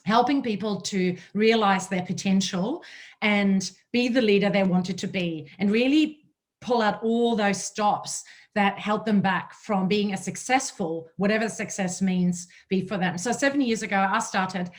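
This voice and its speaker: A woman's voice: mid-range voice, a little slow. A woman in her forties from Germany with a German accent, presenting a webinar as a leadership coach, enthusiastic and fluent.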